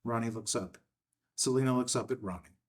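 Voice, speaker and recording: A man's voice: mid-range voice, brisk. A man reading a film script's stage directions as narrator, in a matter-of-fact way.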